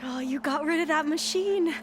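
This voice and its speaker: An actress voicing an earnest, concerned young scholar in a video game, with an expressive delivery. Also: female voice, high-pitched, normal speed, noisy background, natural voice. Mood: surprised. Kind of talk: naturalistic video game acting.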